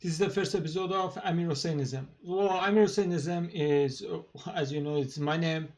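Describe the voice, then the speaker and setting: A man with a thick Persian accent talks on his own channel in a fairly high voice. He speaks casually and haltingly, full of fillers and restarts. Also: darkish tone. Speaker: channel host. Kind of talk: casual monologue to camera.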